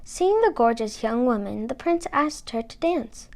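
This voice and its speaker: High-pitched woman reading a fairy tale. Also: expressive, measured pace, darkish tone. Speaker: storybook reader. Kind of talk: scripted fairy tale narration.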